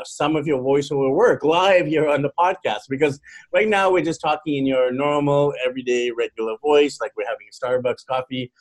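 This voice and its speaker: A man hosting a podcast interview, enthusiastic and full of praise for his guest. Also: medium pitch. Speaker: podcast host.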